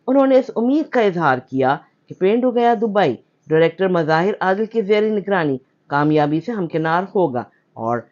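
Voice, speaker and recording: A man. The recording is dull and lo-fi, and his delivery is that of a scripted announcement. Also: high-pitched. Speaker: news reporter. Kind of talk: scripted news narration. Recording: dull lo-fi voice-over.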